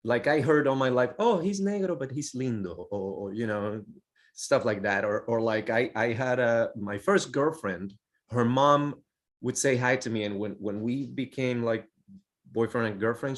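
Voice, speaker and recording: A middle-aged man, sharing personal testimony on a panel in a halting, reflective way. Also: fairly low pitch. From Puerto Rico. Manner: halting, reflective, repeats words.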